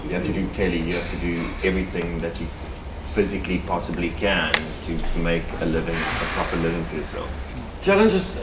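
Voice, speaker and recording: Man, Afrikaans accent, native Afrikaans speaker, deep voice, energetic rambling guest, casual interview. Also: brisk, very noisy. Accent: South African Afrikaans. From South Africa. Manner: energetic, rambling with many restarts. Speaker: actor and director guest.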